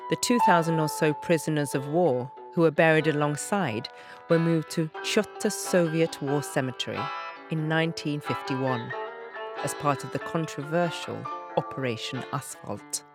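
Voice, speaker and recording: A woman reading scripted narration as an announcer, in a measured, formal manner. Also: low-pitched. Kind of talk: scripted documentary-style narration. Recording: loud plucked-string music behind voice. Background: plucked guitar music.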